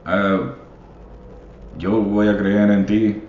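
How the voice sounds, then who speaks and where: Podcast host, man, deep and unhurried, mixes in English, encouraging, echoey dull recording. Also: fairly even intonation, a little hiss or hum. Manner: switches between Spanish and English, many fillers; encouraging, calls listeners papi. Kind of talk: casual podcast monologue. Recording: echoey room, slightly dull sound.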